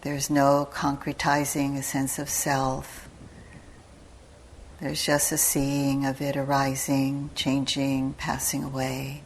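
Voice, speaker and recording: Very slow, low-voiced woman guiding meditation. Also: a little hiss or hum. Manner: calm, measured, with long pauses between short phrases. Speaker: meditation teacher leading a guided session. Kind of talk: guided meditation instruction. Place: meditation retreat hall.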